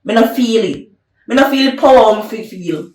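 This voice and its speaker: A woman's voice: mid-range voice, moderate pace, natural voice. A woman playing a bossy, possessive young woman who scolds and lays down the law. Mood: stern. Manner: scolding, domineering. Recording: roomy, distant from the mic.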